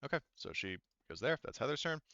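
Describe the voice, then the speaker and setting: A man acting as game master, narrating a tabletop roleplaying game in a halting, thinking-aloud way. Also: medium pitch, big pitch swings, sharp tone, no room sound, dull audio. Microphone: headset.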